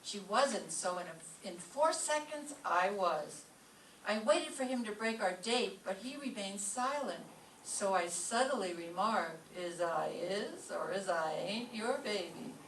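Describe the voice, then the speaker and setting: A woman playing a sharp-tongued, deadpan schoolteacher in a staged old-time radio comedy. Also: mid-range voice, a little slow. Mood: dry, wry. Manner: deadpan, sarcastic. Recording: distant roomy stage recording, faint noise.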